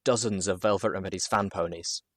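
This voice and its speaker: A man reading a first-person story as narrator, expressively and with dramatic pauses. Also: low voice, fairly even intonation, a bit bright, no echo, clean studio sound. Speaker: narrator, show host.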